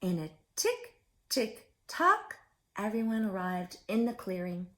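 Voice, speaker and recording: A woman's voice: medium pitch, sing-song, unhurried, fairly bright tone. A woman reading a picture book aloud to young children as a story-time host, theatrical and often putting questions to her listeners.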